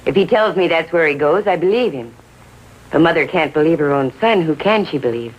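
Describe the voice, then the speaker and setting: A woman's voice: low voice, expressive, brisk, husky, lo-fi sound. A woman playing a middle-aged American mother in a 1950s TV drama, genteel, earnest and insistently defensive. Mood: defensive, trusting. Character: defensive, trusting, genteel mother of a teenage boy.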